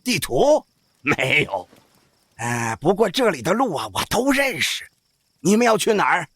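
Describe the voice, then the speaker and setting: An actor playing an old village opera-troupe boss, folksy, worldly and cautious, with a raspy, sighing, drawling delivery in an audio drama. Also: male voice, high voice, sing-song, a little slow, fairly bright tone, no echo, full character voice. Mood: folksy, puzzled. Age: older. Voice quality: raspy, creaky.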